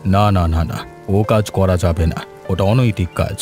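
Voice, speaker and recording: A man voicing a pompous, authoritative village landlord in a cartoon folk tale, stern and deliberate. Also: deep voice, darkish tone, faint music behind. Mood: stern, pondering. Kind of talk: animated folk tale voice acting.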